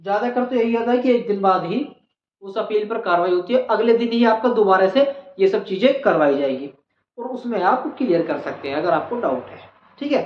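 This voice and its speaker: A channel host giving an explainer on exam procedure, repeating key points for emphasis. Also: mid-range voice. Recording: echoey room, dull lo-fi, faint noise.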